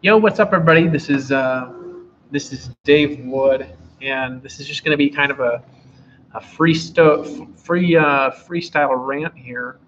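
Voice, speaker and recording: A hoarse man giving a rambling, repetitive freestyle motivational talk to a live audience, often restarting his phrases. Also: mid-range voice, measured pace, a bit bright. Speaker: motivational speaker. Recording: dull lo-fi with faint background hiss.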